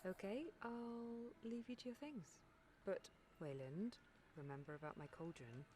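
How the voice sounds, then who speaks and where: A woman's voice: mid-range voice, a little slow, warm tone, a little hiss or hum, close to an everyday voice. An actress playing a reassuring, practical village woman in scripted video game dialogue. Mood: teasing, brisk.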